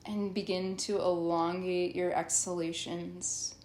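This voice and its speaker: A woman leading a guided yoga nidra meditation as a teacher, soothing and instructional, with long pauses. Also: lowish voice, flat delivery, a little mellow, reverberant, slight background noise.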